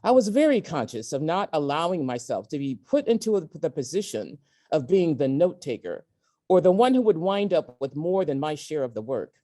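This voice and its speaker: A woman reading memoir excerpts aloud in a measured, emphatic way, with deliberate pauses. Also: sits low, big pitch swings, mellow. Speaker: guest reader at a virtual event. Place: indoors at a community center, on an online event.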